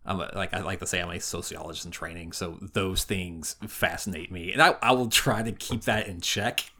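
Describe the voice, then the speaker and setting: A man co-hosting a podcast in casual conversation, rephrasing himself haltingly as he goes. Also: sits low, sharp tone, a little hiss or hum. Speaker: podcast co-host. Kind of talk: casual chat.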